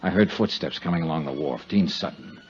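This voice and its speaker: An American actor playing a tough insurance investigator in a 1950s radio drama, speaking in clipped, dramatic, hard-boiled phrases. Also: male voice, deep voice, fairly quick, slight background noise, lightly acted. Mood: urgent narrating. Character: tough, quick-witted male insurance investigator narrating his case. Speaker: lead actor and narrator. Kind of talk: 1950s radio detective drama acting, with first-person narration. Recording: dull vintage 1950s radio recording.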